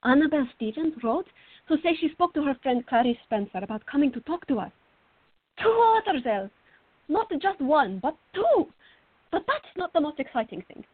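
A woman playing a fiery, excitable teenage art student in a radio drama, with a dramatic way of talking. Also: high-pitched, normal speed, no echo. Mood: excited. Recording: dry, thin phone-like band.

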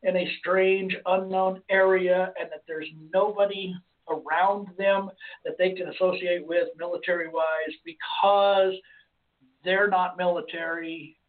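A hoarse man hosting a call-in radio show, talking casually and rambling with restarts. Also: high voice, little pitch movement, a little slow. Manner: rambling, with many restarts and fillers. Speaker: host. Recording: phone-like lo-fi line.

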